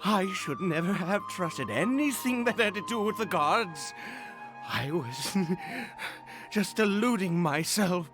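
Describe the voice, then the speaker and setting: An actor playing a grieving, desperate father in a video game, pleading in a tearful, breaking voice with halting, dramatic delivery. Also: sits high, lively intonation, over music. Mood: bitter despair. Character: grieving desperate father, bitter toward the gods.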